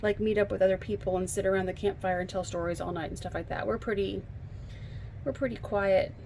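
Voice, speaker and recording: A woman's voice: medium pitch, fairly even intonation, a little fast, mellow, a little hiss or hum. A woman with a slightly froggy voice, chatting casually while she demonstrates card making at a home craft table, thinking aloud and poking fun at herself. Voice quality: slightly froggy, hoarse from allergies. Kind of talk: casual craft tutorial chat.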